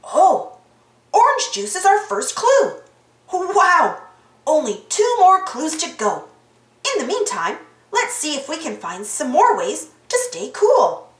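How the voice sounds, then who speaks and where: Very high, animated woman reading a storybook. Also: slow pace. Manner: exaggerated, sing-song enthusiasm for young children. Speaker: storybook reader. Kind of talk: animated children's read-aloud. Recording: roomy echo.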